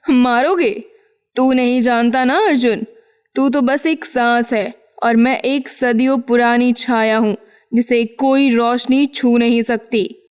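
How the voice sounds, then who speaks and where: A woman as ancient cartoon witch, high-pitched, clear resonant tone, possessive, menacing, mock-sweet taunts. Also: steady tone, slow. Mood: taunting, menacing. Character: centuries-old female witch shadow, taunting and possessive. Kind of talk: cartoon story acting.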